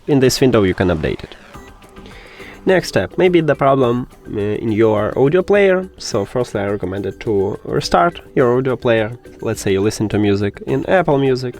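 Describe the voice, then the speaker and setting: A man hosting a how-to tech video, talking the viewer through instructions step by step in a direct, instructional way. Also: fairly low pitch. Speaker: host of a tech troubleshooting tutorial. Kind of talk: spoken step-by-step tutorial.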